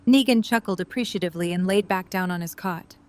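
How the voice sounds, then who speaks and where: A woman's voice: on the low side, fast, darkish tone. A woman reading scripted story narration as the narrator of an audio drama.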